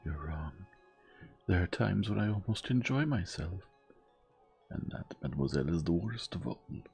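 A man voicing a bitter, desperate young woman who was jilted in love, speaking intensely and dropping to breathy whispers. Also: low voice, expressive, normal speed, a little mellow, faint music behind, pitched above the performer's own voice, full character voice.

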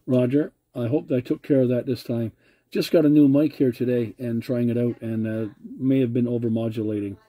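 A man's voice: mid-range voice, fairly even intonation, a little fast, mellow. A man making a CB radio contact from his home radio desk, courteous and using radio procedure phrasing, into a desk mic. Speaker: CB radio operator.

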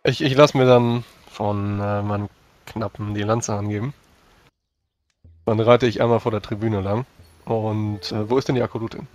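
A man's voice: on the low side, steady tone, moderate pace, darkish tone. A man playing a tabletop role-playing game, casually describing and speaking his character's actions. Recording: music under the voice. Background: background music.

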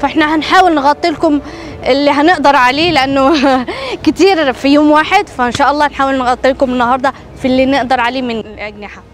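A woman's voice: high-pitched, rapid-fire. A young woman from Morocco with a Moroccan Arabic accent, bubbly and laughing as she guides viewers through a travel vlog. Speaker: travel vlogger.

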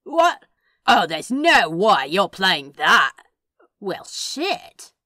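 A woman's voice: mid-range voice, big pitch swings, very slow, bright, forceful tone, no room sound, clean studio sound, heavily acted. A woman voicing a teasing, sardonic male demon in a fan fiction reading, with a sly, casual drawl. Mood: incredulous.

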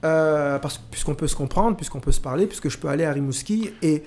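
A man in his forties from Rwanda, talking slowly and hesitantly with many restarts, recorded on a studio boom mic in a small room. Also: darkish tone. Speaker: podcast host. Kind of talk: reflective conversation. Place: small room with pink walls, indoors. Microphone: studio mic on boom arm.

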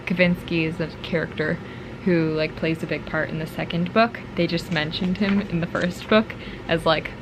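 A woman's voice: lowish voice, steady tone, moderate pace. A young American woman chatting casually and informally to camera in a reflective way as a book vlogger. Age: young adult. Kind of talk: casual vlog chat. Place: bedroom at home, indoors. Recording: clear ambient room noise.

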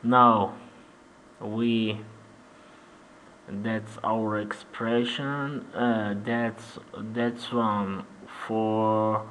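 A man from Armenia with an Armenian accent, guiding a software tutorial in halting, simple English with many "uh-huh" fillers. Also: fairly low pitch, flat delivery, slight background noise, low-quality recording. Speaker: 3D animation tutor. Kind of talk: screen-recorded software tutorial.

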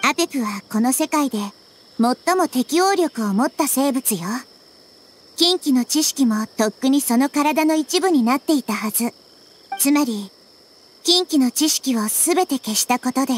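A woman's voice: sits high, lively intonation, moderate pace, slight background noise. A woman voicing a small, childlike nature goddess in a game, wise, thoughtful and articulate. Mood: grave, worried. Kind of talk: scripted game dialogue.

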